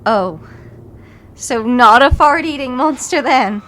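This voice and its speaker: High-voiced, sarcastic young student played by a woman. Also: animated, slow, a bit bright, heavily acted. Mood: shaken, curious. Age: young adult. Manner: sarcastic, incredulous. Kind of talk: audio drama acting.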